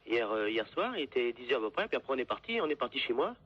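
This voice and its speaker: Fast-talking young man on the phone. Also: medium pitch, sing-song. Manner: earnest, repeatedly denying. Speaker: prank call victim. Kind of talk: casual phone conversation. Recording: noisy, dull phone line.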